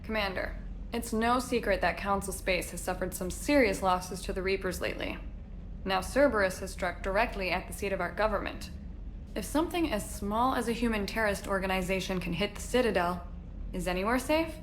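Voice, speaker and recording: A woman acting a bold war reporter, medium pitch. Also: fairly quick, a little hiss or hum, lightly acted. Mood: professional, probing. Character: bold, probing female war correspondent. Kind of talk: video game dialogue acting.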